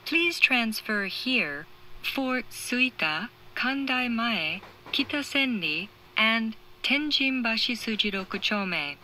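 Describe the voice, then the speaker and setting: A woman reading formal, measured scripted train announcements in clearly separated phrases through an onboard speaker. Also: a little high, lively intonation, bright, forceful tone, slight background noise, poor audio. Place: recorded inside a train carriage.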